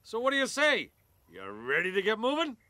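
Bright, hearty truck buddy. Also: male voice, sits high, huge pitch swings, a little fast, no room sound, full character voice. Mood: encouraging. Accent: American. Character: hearty, encouraging male semi truck. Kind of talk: animated video game acting.

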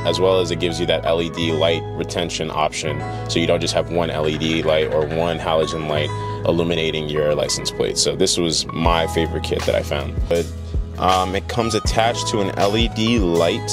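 Low-voiced young American man vlogging. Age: young adult. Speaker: car vlogger. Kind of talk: casual talk to camera. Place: inside a parked car, later a garage. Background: background music.

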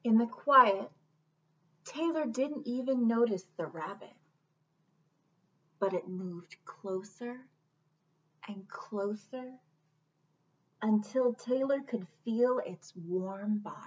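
Casting: woman, 30s, children's storyteller, unhurried and gentle, dull webcam audio. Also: a little high. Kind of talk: picture book read-aloud. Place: small room indoors. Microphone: webcam at about arm's length. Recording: dull webcam sound, distant mic.

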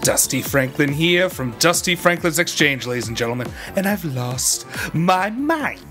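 A man playing a manic store pitchman in a hammy radio advertisement, shouting sale slogans. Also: sing-song, normal speed, over music. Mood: manic salesmanship. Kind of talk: over-the-top radio advertisement.

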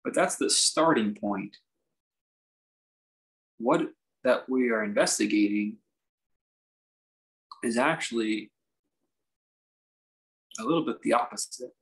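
Man guiding a meditation in halting phrases. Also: medium pitch, rough recording. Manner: measured, halting phrases with reflective pauses. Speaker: meditation teacher. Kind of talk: guided meditation, unscripted instruction.

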